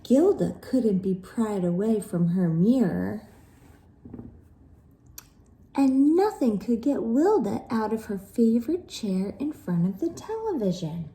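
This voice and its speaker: Woman, storybook narration, slow pace, very soft dark tone, animated pitch. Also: poor audio. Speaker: children's story reader. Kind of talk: expressive scripted storybook narration.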